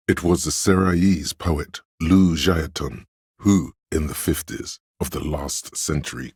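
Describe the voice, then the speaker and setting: Encyclopedic inner voice, extremely low, husky and raspy, erudite, dry wit, measured game narration. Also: male voice, no echo, full character voice. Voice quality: very deep, gravelly, husky. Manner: erudite, measured, dryly wry. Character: pedantic, know-it-all encyclopedic inner voice, male. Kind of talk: scripted game narration, explanatory.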